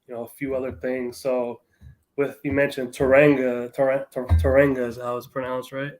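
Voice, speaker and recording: A man's voice: mid-range voice, flat delivery, moderate pace, a little mellow. A man hosting a casual interview, asking questions in a friendly, encouraging manner. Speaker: host.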